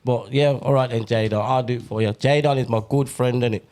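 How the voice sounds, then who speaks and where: Slangy teasing podcast host. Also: male voice, medium pitch, fairly even intonation, fairly quick, darkish tone. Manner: slangy, teasing, repeats phrases. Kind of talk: casual banter.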